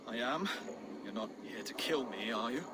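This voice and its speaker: A man's voice: mid-range voice, lively intonation, normal speed, noisy background, lightly acted. An actor playing an earnest, courteous, mild-mannered Saxon nobleman in a video game cutscene. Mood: uneasy, polite. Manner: courteous, earnest, soft-hearted.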